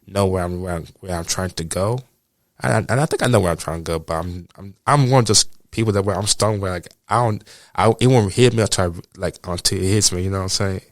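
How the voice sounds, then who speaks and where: A man's voice: low-pitched, no room sound, studio quality. A man in his twenties hosting a podcast, talking casually and rambling, with lots of "you know what I'm saying". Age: 20s. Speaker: podcast host. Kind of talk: casual chat.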